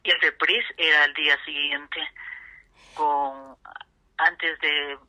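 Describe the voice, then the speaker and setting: A woman in her seventies with an Ecuadorian highland accent, reminiscing as an interview guest in an emphatic, repetitive way. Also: fairly even intonation, slow, bright, forceful tone, low-quality recording. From Ecuador, grew up in Riobamba. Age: 70s. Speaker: interview guest, veteran radio actress.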